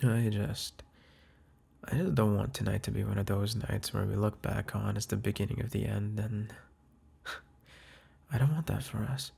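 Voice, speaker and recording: Husky gravelly man whispering softly. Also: on the low side, fairly even intonation, measured pace, pauses often. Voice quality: husky, breathy, often whispering. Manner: soft, hesitant, tender, with sighs and restarts. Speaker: boyfriend in a roleplay audio. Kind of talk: intimate apology roleplay to the listener, ASMR-like.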